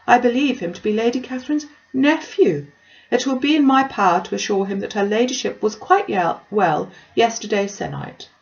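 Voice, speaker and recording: A woman's voice: a little high, normal speed, creaky, dull audio, pitched above the performer's own voice. A woman voicing a pompous, self-important and obsequious clergyman in an audiobook, wordy and formal. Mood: eager. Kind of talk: audiobook character dialogue.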